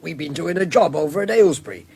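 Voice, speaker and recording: A rambling, folksy English countryman in a radio play. His rural accent rides a high, swooping voice with a creaky, gravelly edge. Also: animated, fast, darkish tone, slight background noise. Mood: chatty, sly. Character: chatty superstitious rustic English working man. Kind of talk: radio drama acting.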